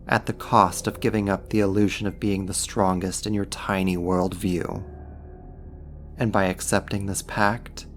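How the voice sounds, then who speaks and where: A man's voice: low voice, a little hiss or hum. A man playing a smug, possessive archdemon in an ASMR roleplay, teasing and coaxing a human in an intimate, amused manner. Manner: teasing, smug, deliberate delivery. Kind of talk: ASMR roleplay acting, intimate second-person monologue.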